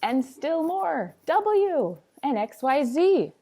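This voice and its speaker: A woman's voice: sits high, very animated, slow pace, dark tone. A woman reading a picture book aloud like a teacher, with a sing-songy, playful, dramatic delivery and chatty asides.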